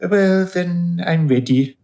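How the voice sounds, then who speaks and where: Man playing a slow, creaky-voiced unrepentant killer. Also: high voice, warm tone, full character voice. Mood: wary, matter-of-fact. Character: dead male killer, coolly unrepentant, facing reincarnation. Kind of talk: radio play acting. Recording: studio radio play.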